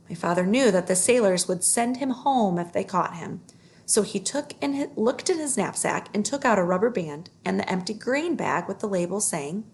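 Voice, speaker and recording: A woman's voice: medium pitch, vocal fry. A woman reading a children's story aloud, phrasing it carefully and expressively.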